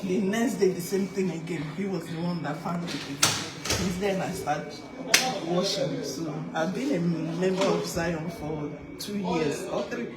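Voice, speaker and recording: A Yoruba-speaking woman from Nigeria with a Nigerian accent, answering interview questions in a soft, easygoing way. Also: on the low side, unhurried, very noisy. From Nigeria, Ogun State. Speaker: church member interviewed. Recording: echoey and distant with a loud crowd.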